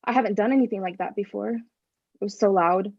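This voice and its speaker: A woman's voice: medium pitch, a little fast, darkish tone, no echo, highs cut off, natural voice. An actress playing a tense, irritable woman who is curt and profane, in a staged play reading. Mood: shaken. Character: tense, irritable woman, reluctant accomplice in a killing.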